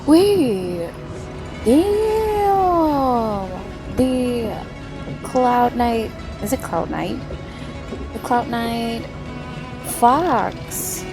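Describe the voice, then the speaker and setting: A woman livestreaming a story game, reacting casually in short, excited interjections. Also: a little high, extremely slow, poor audio. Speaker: streamer playing a story game. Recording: game music behind the voice.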